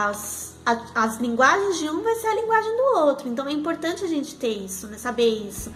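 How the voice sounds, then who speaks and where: High-voiced Brazilian woman giving family advice. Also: a bit bright. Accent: Brazilian Portuguese. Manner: earnest, explanatory, tags sentences with "né?". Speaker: co-host, mother speaking about family life.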